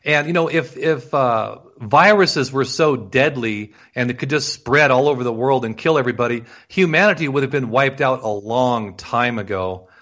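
A man's voice: mid-range voice, normal speed, hardly any pauses, a bit bright. An agreeable man hosting a casual conversation, throwing in jokes and asking questions. The sound is dull and lo-fi. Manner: agreeable, joking. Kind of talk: casual chat. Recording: muffled lo-fi audio.

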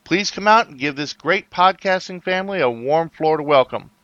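Bright upbeat man announcing an event. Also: on the high side, expressive, fairly quick, no echo, dull audio.

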